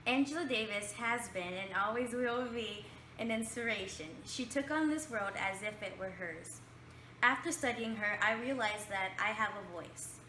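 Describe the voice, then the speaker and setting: A woman reading a scripted documentary narration in a measured, read-aloud way. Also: mid-range voice, sharp tone, slight background noise, poor audio.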